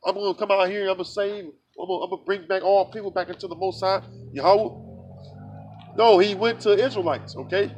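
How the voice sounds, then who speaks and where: High-voiced man preaching outdoors. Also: steady tone, fairly quick, a little mellow, dull audio, a little hiss or hum. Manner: reads verses aloud then explains them in blunt street talk; many filler sounds and restarts. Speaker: street preacher. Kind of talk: scripture teaching.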